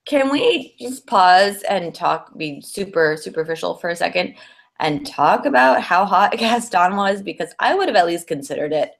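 A woman's voice: lowish voice, lively intonation, moderate pace, vocal fry. A woman co-hosting a podcast, chatting casually and enthusiastically about films, peppering her sentences with "like". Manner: enthusiastic, informal, heavy use of "like". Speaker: podcast co-host.

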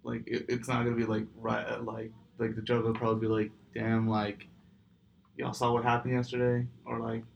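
Talkative young guy, gravelly voice. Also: mid-range voice, completely flat delivery, normal speed, muffled recording. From San Francisco, United States. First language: Arabic. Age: young adult. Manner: talkative, slangy, meandering. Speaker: podcast guest. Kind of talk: casual chat. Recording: faint background noise.

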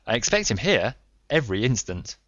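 A man voicing a calm, stern Victorian detective who speaks in a measured way. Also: medium pitch, dry recording, muffled recording. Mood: assured.

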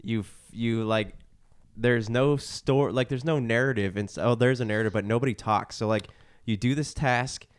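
A man co-hosting a gaming podcast, chatting casually in a smooth, clear voice. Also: mid-range voice, normal speed. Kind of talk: casual chat.

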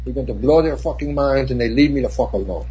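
A man putting on a German accent for a bossy male film director, with a husky voice. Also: medium pitch, fast, mellow. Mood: defiant. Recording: dull lo-fi recording.

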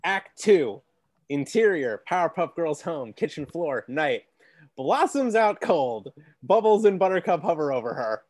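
A man with a deep voice reading stage directions aloud during a comedy script read-through. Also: big pitch swings, measured pace, a little mellow, no room sound.

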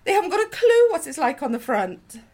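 Woman playing a high-voiced worried wartime mother. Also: big pitch swings, fairly quick, a little mellow. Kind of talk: amateur radio play acting.

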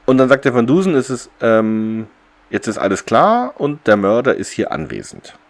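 A man's voice: medium pitch, wildly swooping pitch, moderate pace. A man co-hosting a podcast, talking through a story in a casual, explanatory, conversational way. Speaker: podcast co-host. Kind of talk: casual discussion of an audio drama's plot.

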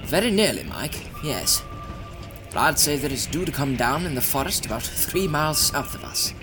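Man acting genteel eccentric scientist, lively melodic delivery. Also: a little high, music in the background, lightly acted. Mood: grave, assured. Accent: British. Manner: genteel, deliberate, faintly pompous. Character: eccentric alien scientist, older gentleman, assured, courtly. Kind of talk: audio drama acting.

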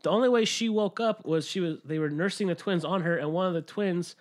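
A man with a fairly high voice co-hosts a podcast. He retells stories in a casual, chatty way, as if among friends. His language is informal and now and then crude. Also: fairly quick. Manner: informal, mildly profane. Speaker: podcast co-host.

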